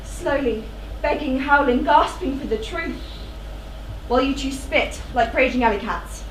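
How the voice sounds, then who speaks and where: A woman's voice: high voice, unhurried, distant mic. A young woman playing a reproachful yet devoted queen in a stage tragedy, dramatic and imploring. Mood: scolding. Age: young adult. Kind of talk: theatrical stage tragedy delivery. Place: theatre stage. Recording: echoey theatre from afar, noisy.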